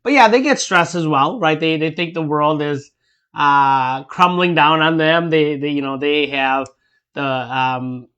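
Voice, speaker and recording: A man's voice: fairly high pitch, unhurried, a bit bright, clean tone. Emphatic man with tag questions. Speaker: co-host, father. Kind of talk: casual chat.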